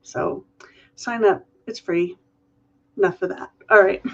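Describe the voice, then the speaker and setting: A woman's voice: mid-range voice, fairly even intonation, slow pace, vocal fry, a bit bright, muffled recording. A woman hosting a live question-and-answer stream, nasal and congested from a cold, chatting through viewer questions. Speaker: host.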